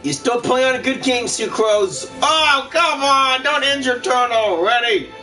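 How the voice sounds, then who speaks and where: Gaming host, male; high, bright, lively voice with an unhurried pace, excitable with sudden shouts, light music under. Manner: excitable, with sudden shouts and laughs at good plays; reads card text aloud in a plain, measured way. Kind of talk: casual gameplay commentary.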